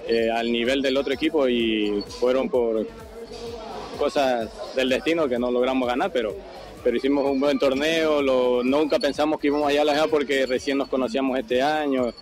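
A man in his thirties reflects in a post-match interview. His voice is mid-pitched, with music clearly heard behind it. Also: fairly even intonation, normal speed. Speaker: runner-up football player.

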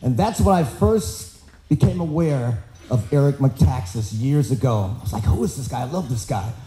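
An American man hosting an event, giving a casual, animated introduction of a speaker at a podium microphone. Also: medium pitch, moderate pace, soft background music. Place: large banquet hall, indoors. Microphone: podium microphone on boom stand. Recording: echoey banquet hall.

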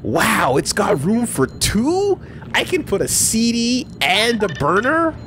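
A man's voice: high voice, huge pitch swings, slow, fairly bright tone, faint music behind. A man casually commentating a game, reading screen text aloud and joking. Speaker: let's play commentator. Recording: noisy stream mix with game audio.

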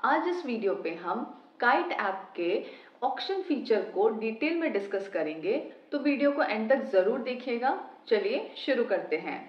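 A woman hosting a finance channel, giving a steady, step-by-step scripted explanation. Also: fairly high pitch, unhurried. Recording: roomy, slightly dull home recording.

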